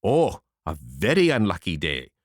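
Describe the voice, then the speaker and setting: A man voicing a fat, self-important chief priest, loud and agitated, with his voice pitched up. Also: wildly swooping pitch, measured pace, no room sound, studio quality, exaggerated voice. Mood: grave.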